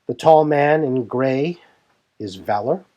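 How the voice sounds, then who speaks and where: A man's voice: mid-range voice, takes it slowly, mellow, dull audio, pitched above the performer's own voice, natural voice. A man voicing a composed young leader of a warrior band who explains things formally in a fantasy audio drama. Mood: welcoming, composed. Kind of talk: fan audio drama dialogue.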